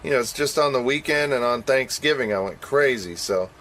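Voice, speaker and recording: A man's voice: mid-range voice, a little fast, a bit bright, a little hiss or hum, poor audio. A man chatting casually on a radio show, rambling and making self-deprecating admissions. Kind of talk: casual on-air chat.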